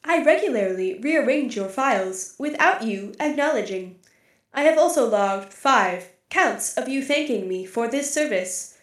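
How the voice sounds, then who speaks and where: A woman playing a literal-minded female AI archive computer in an audio drama, speaking in a flat, formal, deliberate way. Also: high-pitched, echoey room. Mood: impassive, matter-of-fact. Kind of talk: scripted audio drama acting.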